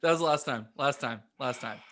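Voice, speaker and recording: A woman's voice: extremely low pitch, moderate pace, a bit bright. A woman co-hosting a comedy podcast, chatting casually and playfully. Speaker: podcast co-host.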